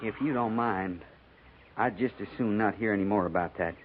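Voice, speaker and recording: Actor as folksy army sergeant, tinny radio. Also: male voice, fairly low pitch, moderate pace, close to an everyday voice. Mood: earnest, reproachful. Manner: folksy, hesitant, respectful. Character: plain-spoken, loyal, soft-hearted frontier army sergeant. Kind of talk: 1950s western radio drama acting. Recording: tinny, faintly noisy 1950s radio recording.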